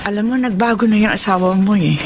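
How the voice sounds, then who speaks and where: A woman's voice: medium pitch, quick pace, natural voice. Actress as older Filipino mother, noisy audio. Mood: protective, hurt. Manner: admonishing, motherly. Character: concerned, protective older mother of grown son. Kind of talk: Filipino radio drama acting. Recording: clearly noisy radio drama audio.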